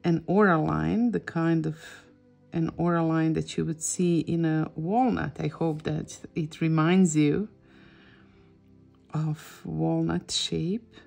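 A woman's voice: deep voice, steady tone, slow, gravelly, a little mellow, faint music behind. A middle-aged woman with a Croatian accent, a native Croatian speaker, giving a casual drawing tutorial and often searching for words. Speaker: drawing teacher and host.

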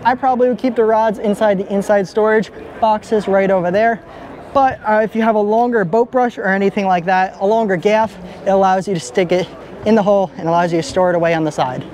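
A young man filming a walkaround boat review at arm's length in a large indoor boat show hall, talking with steady enthusiasm. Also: sits high, a little fast, slight background noise. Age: young adult. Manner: enthusiastic, upbeat. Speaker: boat vlogger. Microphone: handheld camera at arm's length.

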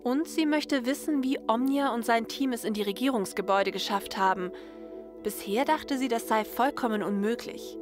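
A woman's voice: a little high, normal speed. A woman reading scripted narration for a science-fiction audio story. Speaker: narrator and writer. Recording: music under the voice. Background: music.

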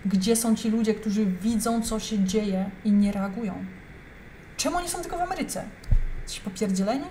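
A woman's voice: medium pitch, normal speed, dark tone, slight background noise, rough recording. A young adult woman giving heated, emphatic reaction commentary, talking straight to her viewers. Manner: indignant, emphatic, addresses viewers directly.

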